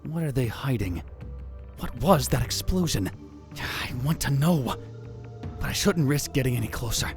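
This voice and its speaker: A man's voice: medium pitch, lively intonation, moderate pace, pauses often, close to an everyday voice. A man playing an anxious, dramatic young conspiracy theorist who narrates a visual novel in a theatrical, self-questioning way. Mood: suspicious. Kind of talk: first-person visual novel narration, acted. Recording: music bed under the voice. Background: background music.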